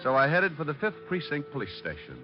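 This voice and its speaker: An actor playing a wisecracking, flirtatious private detective with an American accent and breezy, sarcastic delivery in golden-age radio style. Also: male voice, a little high, huge pitch swings, a little fast, over music. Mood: dry narration. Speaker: lead actor and narrator of detective radio drama. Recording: muffled old radio recording.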